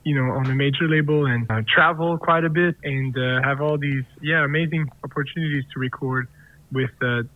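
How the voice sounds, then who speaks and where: A singer-songwriter whose first language is French, answering interview questions in a rambling way, full of fillers and restarts. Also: male voice, mid-range voice, steady tone, a little fast. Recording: dry tinny phone line.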